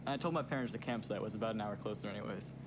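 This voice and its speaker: A man's voice: lowish voice, very fast, close to an everyday voice. A young actor playing an exasperated, nervous teenage boy bantering casually in a radio drama. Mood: nervous, mocking. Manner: irritated, slangy. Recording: noisy field recording with ambience.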